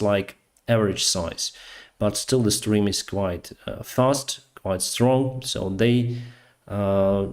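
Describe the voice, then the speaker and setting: Slow, low-voiced Ukrainian man. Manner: hesitant, searching for English words, many fillers. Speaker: live stream host. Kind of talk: casual live stream commentary.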